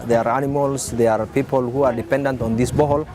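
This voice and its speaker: A man with an East African accent giving halting, explanatory interview testimony. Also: mid-range voice, fairly even intonation. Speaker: community interviewee. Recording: outdoor recording with crowd noise.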